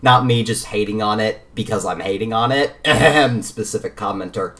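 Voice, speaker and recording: A man co-hosting a casual gaming podcast, arguing his points haltingly and repeating phrases as he goes. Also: on the low side, a bit bright. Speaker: podcast co-host.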